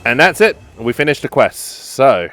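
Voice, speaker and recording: A man's voice: medium pitch, very animated, moderate pace, a bit bright, faint music behind. A man giving casual, musing commentary as he plays through a game. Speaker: gaming video host.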